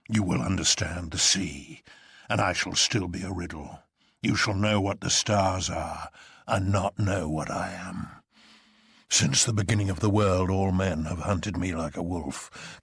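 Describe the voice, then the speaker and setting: A man acts out an immense, mysterious president for a radio story. He is silky and teasing, with grandiloquent phrasing and a booming, theatrical sense of his own riddle. The sound is dry. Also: fairly bright tone, no echo, heavily acted. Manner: smooth, mock-genial, grandiloquent.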